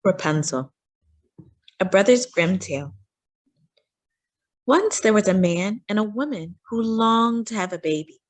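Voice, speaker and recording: Expressive woman reading a storybook. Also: medium pitch, measured pace, long pauses. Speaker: children's read-aloud storyteller.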